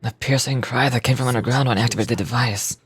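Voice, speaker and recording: A man's voice: mid-range voice, fairly even intonation, quick pace, slightly rough. A man voicing an earnest, brooding young man in a video game. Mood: pensive. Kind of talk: scripted video game voice acting. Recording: game audio with background music.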